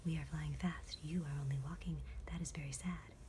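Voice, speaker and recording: A woman voicing hurried, boastful dragonflies in a clipped whisper for an ASMR bedtime storybook. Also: deep voice, steady tone, dark tone, slight background noise, close to an everyday voice. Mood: pitying, superior.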